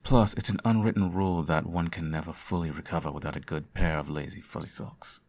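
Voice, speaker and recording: A man playing a sardonic, theatrical supervillain who fusses over his nemesis in an ASMR audio roleplay, his voice slightly husky. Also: low voice, fairly even intonation, brisk, a little mellow. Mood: caring, fussing. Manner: theatrical, teasing, sarcastic.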